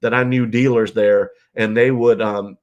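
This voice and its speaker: A man's voice: lowish voice, steady tone, unhurried, a little mellow, highs cut off. A man with a stuffed-up, congested voice hosts a rambling, casual livestream from a guest bedroom at home, chatting loosely and reading viewer comments aloud. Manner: rambling, loose, full of ums and you-knows. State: stuffed-up nose and congested from illness. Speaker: livestream host.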